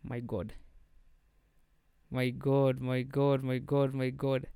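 Chatty Kenyan man, smooth dry voice. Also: mid-range voice, takes it slowly, no echo. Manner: mixes English and Swahili slang, chatty and animated. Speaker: podcast host. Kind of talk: casual solo podcast chat.

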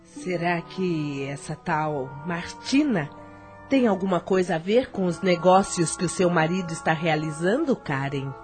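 Radio soap role, female actor: mature family matriarch, ironic and needling, lower-pitched delivery. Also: lively intonation, a little slow, darkish tone, faint music behind. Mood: ironic, insinuating. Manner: ironic, insinuating. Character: ironic, needling mother of a married daughter. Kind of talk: radio soap opera acting.

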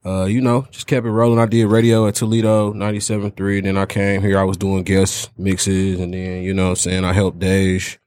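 Laid-back low-voiced man DJ. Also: level tone, a little fast, hardly any pauses, darkish tone, dry recording. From Detroit. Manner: laid-back, keeps saying "you feel me". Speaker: DJ guest. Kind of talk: casual interview chat.

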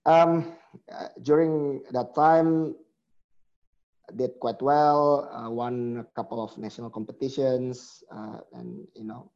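A middle-aged man speaks as an interview guest, thoughtful and a little halting, often restarting his sentences. His voice is warm and mellow at an ordinary pitch. The recording is dull and lo-fi. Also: fairly even intonation, gravelly. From Indonesia. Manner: reflective, hesitant with restarts. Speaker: guest, company chief executive. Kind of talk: conversational interview.

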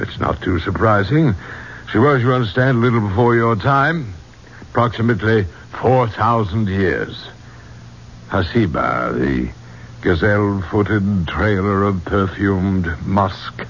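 An actor playing an elderly, courtly male archaeologist in a 1970s radio drama, speaking theatrically and rhapsodically. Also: lowish voice. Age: older. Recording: muffled, hissy old radio broadcast.

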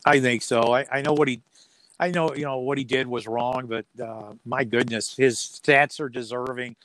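A man's voice: medium pitch, steady tone, moderate pace, fairly bright tone, poor audio. A man speaking as a sports announcer being interviewed, chatting casually in a folksy, joking way with dry humour. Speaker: radio sports play-by-play announcer as interview guest.

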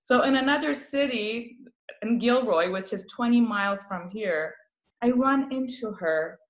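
A woman's voice: on the high side, lively intonation, measured pace, roomy sound, low-quality recording. A woman from Iran with a Persian accent, speaking as a palliative care guest and telling stories with laughs. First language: Farsi. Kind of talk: casual interview talk.

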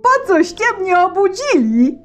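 A woman voicing a frightened young peasant man who begs and argues in a high, strained voice. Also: sing-song, measured pace, faint music behind, heavily acted. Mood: defiant. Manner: high, pleading, tearful.

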